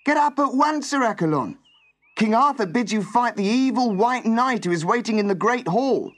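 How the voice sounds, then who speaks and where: A man voicing an insistent servant bearing royal orders, urgent and loud, in a storybook tale. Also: extremely high pitch, animated, moderate pace, pitched above the performer's own voice.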